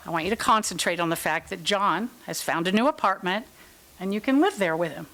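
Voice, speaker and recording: An actress as patient, firm guardian, medium-pitched. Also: female voice, lively intonation, a little fast, a bit bright. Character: caring but firm woman, legal guardian of a troubled man. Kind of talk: staged reading of a play.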